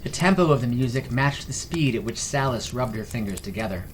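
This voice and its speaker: A man's voice: medium pitch, expressive, fairly quick, a little hiss or hum. A man reading scripted fantasy story narration as the narrator of a fiction podcast. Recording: music behind the voice. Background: background music.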